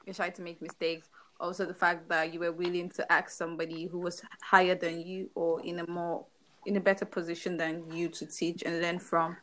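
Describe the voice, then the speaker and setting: A woman's voice: on the low side, little pitch movement, a little fast, fairly bright tone, slight background noise, poor audio. A young woman hosting an interview, talking effusively and summing up what her guest said. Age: young adult. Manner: enthusiastic, effusive. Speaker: host.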